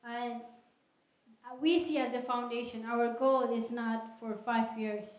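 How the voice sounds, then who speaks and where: A woman answering audience questions at a lecture in an earnest, measured way, speaking into a handheld microphone in a large hall. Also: on the high side, fairly even intonation. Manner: earnest, measured, non-native phrasing. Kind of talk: public Q&A talk. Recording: echoey hall.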